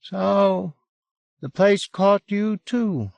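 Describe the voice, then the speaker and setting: Audiobook role: desperate, distrustful well-bred young English man, English accent, pitched high, animated and pleading. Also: unhurried, dry recording, highs cut off, heavily acted. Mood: subdued, incredulous. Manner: agitated, pleading, breathy protests. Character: wretched, desperate, suspicious educated Englishman, under thirty. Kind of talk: audiobook character acting.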